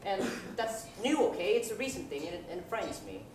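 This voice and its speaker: A young woman acting as an anxious, suspicious little boy in a student stage comedy, speaking haltingly. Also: medium pitch, lightly acted. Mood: uneasy, earnest. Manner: halting, repeating words, deadpan objecting. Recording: echoey stage, distant mic, noisy.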